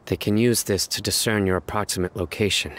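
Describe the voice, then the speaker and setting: Serious desert lawman for a video game, male actor: deep, calm, measured, methodical. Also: slight background noise, close to an everyday voice. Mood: suspicious. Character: stern, calm, analytical male desert enforcer. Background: music.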